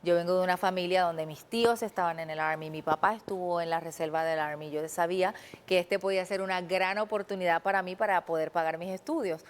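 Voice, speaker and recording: A woman's voice: on the low side, fast. A woman in her thirties with a Puerto Rican accent, a TV journalist telling her story earnestly as a guest in a studio interview. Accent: Puerto Rican Spanish. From Puerto Rico. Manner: earnest, animated, quotes her own past thoughts.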